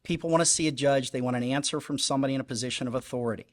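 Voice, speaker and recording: A man's voice: medium pitch, rapid-fire. Earnest candidate man, emphatic repeats. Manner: earnest, emphatic, repetitive phrasing. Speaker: judicial candidate. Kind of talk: candidate forum statement. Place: public forum hall.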